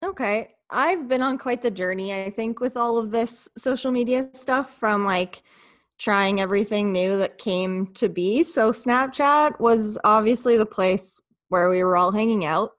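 A woman's voice: mid-range voice, measured pace. A woman in her late twenties from Canada, chatting casually as a podcast guest with lots of "like". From Ottawa, Canada. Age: 20s. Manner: relaxed, laughing, lots of "like". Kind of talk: casual interview chat.

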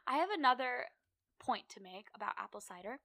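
A woman's voice: a little high, animated, fairly quick, sharp tone, dry recording. A young woman co-hosting a casual chat, talking dramatically with lots of "like". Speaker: podcast co-host.

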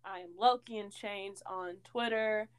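A woman's voice: mid-range voice, steady tone, a little slow, clean tone. A woman in her twenties from Tennessee, co-hosting a casual fan podcast, teasing and giggly. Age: 20s. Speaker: podcast co-host. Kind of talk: casual chat.

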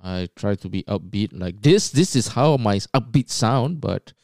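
A man from Singapore hosting a casual solo podcast, who describes his own voice as low and monotonous and talks with many fillers. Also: moderate pace, vocal fry, no echo, clean studio sound. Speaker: podcast host.

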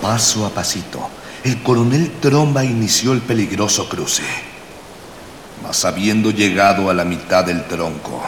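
A man's voice: lowish voice, measured pace. A man narrating a scripted radio serial in a florid, old-fashioned storytelling manner. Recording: echoey long reverb, clear hiss.

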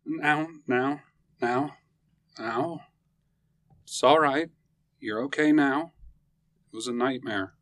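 A woman voicing a gentle husband who softly soothes his wife after a nightmare. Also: low voice, very animated, no echo, voice pushed down, heavily acted. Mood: soothing. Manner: soft, soothing, slow.

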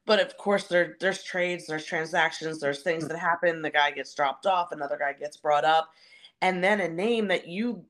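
Low-key explanatory woman in interview. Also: moderate pace, a bit bright. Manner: conversational, explanatory. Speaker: baseball stadium announcer. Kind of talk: casual interview answers.

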